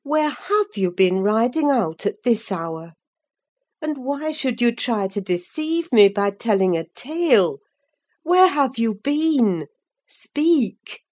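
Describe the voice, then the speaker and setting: A woman's voice: on the high side, big pitch swings, takes it slowly, darkish tone, voice pushed up, heavily acted. A woman voicing a concerned woman servant who chides her young mistress earnestly in an audiobook. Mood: stern questioning. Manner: earnest, sorrowful chiding. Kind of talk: dramatised audiobook character voice.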